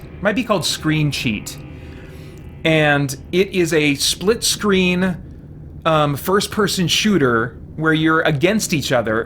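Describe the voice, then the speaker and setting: A man's voice: on the high side, fairly bright tone. Rambling man streaming, noisy background. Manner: rambling, thinking aloud. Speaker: game streamer and host. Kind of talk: casual livestream chat while playing.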